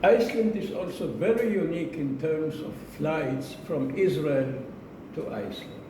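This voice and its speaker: A man giving a prepared speech to an audience in a slow, deliberate manner, repeating phrases for emphasis. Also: a little high. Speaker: club speaker giving a speech. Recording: echoey room, distant mic, noisy.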